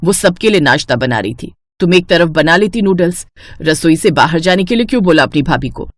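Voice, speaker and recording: A woman plays a stern middle-aged mother in a cartoon family tale. Her voice sits a little low and is recorded dry. She scolds in a reproachful, no-nonsense way. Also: expressive, fairly quick, dry recording.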